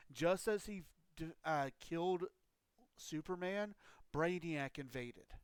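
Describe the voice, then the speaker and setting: A man chats through a live game stream, his voice a little high and smooth yet roughened by a scratchy throat. He rambles through explanations with plenty of ums. The sound is close and dry. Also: no echo. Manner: rambling, loose explanations with frequent fillers. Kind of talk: casual live-stream chat.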